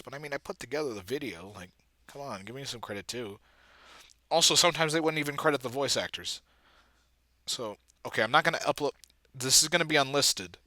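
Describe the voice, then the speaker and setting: A man's voice: mid-range voice, sharp tone, no echo. A man giving casual, self-deprecating commentary as he works on his computer, with muttered asides. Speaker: video creator, comic dub editor. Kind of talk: casual screen-recording commentary. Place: indoors at a computer.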